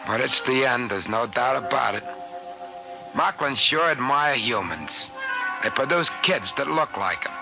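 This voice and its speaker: An actor playing a folksy, easygoing and slightly naive trader in 1950s radio drama, with a plainspoken, colloquial delivery. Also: male voice, mid-range voice, expressive, measured pace, creaky, poor audio. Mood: wry, reflective. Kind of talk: 1950s radio drama first-person narration. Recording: 1950s radio broadcast with music behind. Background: music.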